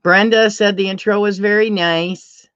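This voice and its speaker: Middle-aged woman host, deep voice, unhurried and chatty, slightly dull lo-fi stream sound. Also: steady tone, fairly bright tone, clean tone. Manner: chatty, laughs often, reads chat comments aloud. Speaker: livestream host. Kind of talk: casual livestream chat.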